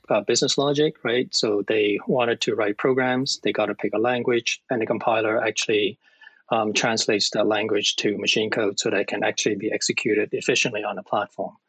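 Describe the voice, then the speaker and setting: Husky-voiced man. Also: on the low side, steady tone, moderate pace, a bit bright, dry recording, low-quality recording. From Hong Kong. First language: Cantonese. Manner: plain explaining, with frequent fillers. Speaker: compiler engineer. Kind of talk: casual interview answers.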